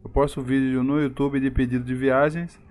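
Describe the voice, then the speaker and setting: A man's voice: mid-range voice, normal speed. Chatty Bahian man streaming a game. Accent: Bahian Brazilian Portuguese. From Salvador, Bahia, Brazil. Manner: relaxed, chatty, greets viewers by name. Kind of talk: casual live-stream chat while driving a game.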